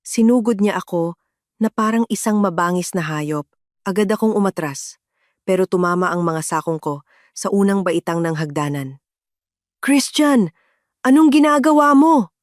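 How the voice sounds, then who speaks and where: A woman's voice: on the low side, darkish tone, dry recording, studio quality. A woman reading a dramatic first-person story as a scripted narration.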